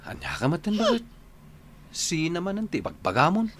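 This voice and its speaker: A man's voice: high-pitched, sing-song, moderate pace. A man playing a sullen, hungover young husband in a radio drama, grumbling and whining, with some background noise. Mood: irritated. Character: sullen hungover young husband who drinks too much. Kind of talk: Ilocano radio drama acting.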